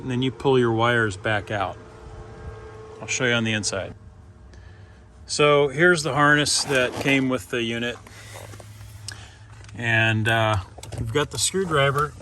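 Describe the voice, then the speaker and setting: A man's voice: medium pitch, sharp tone. Casual DIY guy over background noise. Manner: informal, explains step by step. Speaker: DIY video presenter. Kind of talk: casual hands-on install walkthrough.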